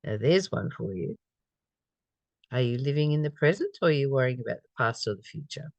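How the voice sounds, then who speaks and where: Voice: medium pitch, expressive, fairly quick, dry recording. A person reading a spiritual study text aloud and reflecting on it conversationally, repeating phrases and posing questions. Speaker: spiritual study reader and commentator.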